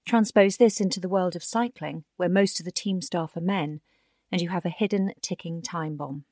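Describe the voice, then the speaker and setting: A woman with a fairly low voice narrates a magazine article. Her delivery is a scripted long-form read. The recording is dry and close, with little room sound. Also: moderate pace, dark tone, no room sound.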